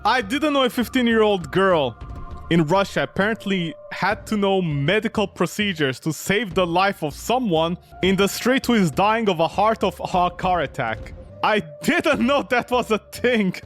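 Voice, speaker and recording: A man's voice: high voice, animated, moderate pace, soft background music. A man giving sarcastic, incredulous playthrough commentary on a game, casually swearing as he reacts. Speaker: gaming video host.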